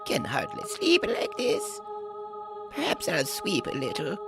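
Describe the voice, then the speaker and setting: A woman's voice: medium pitch, wildly swooping pitch, measured pace, vocal fry, over music, exaggerated voice. A woman voicing a very old, fussy woman who mutters to herself in an audio drama. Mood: muttering, wondering. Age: older.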